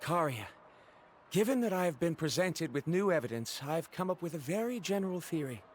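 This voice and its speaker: An actor playing an earnest, awkward scholar in a video game, speaking formally and a little hesitantly. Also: male voice, fairly high pitch, lively intonation, fairly quick, a little hiss or hum, lightly acted.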